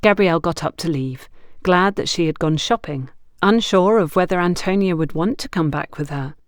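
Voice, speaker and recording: A woman's voice: on the low side, moderate pace, no echo, studio quality. A woman reading scripted story narration as an audiobook narrator.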